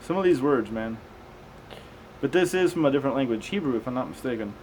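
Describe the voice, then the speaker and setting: A man's voice: mid-range voice, expressive, fast talker, darkish tone, slight background noise, low-quality recording. A man reading scripture aloud, stumbling over unfamiliar words and dropping in casual, conversational comments.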